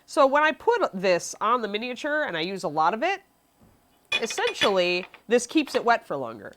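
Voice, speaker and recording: A woman's voice: fairly high pitch, very animated, moderate pace, background noise. Sniffly woman teaching miniature painting. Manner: chatty, reads chat questions aloud, frequent ums. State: sniffly from allergies. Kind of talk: live-streamed painting tutorial.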